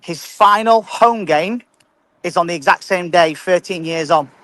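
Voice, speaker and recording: A man's voice: high voice, unhurried, fairly bright tone, dry recording. A man calling in to a fan show, emphatic, often stumbling and repeating words. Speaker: caller. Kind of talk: casual fan chat.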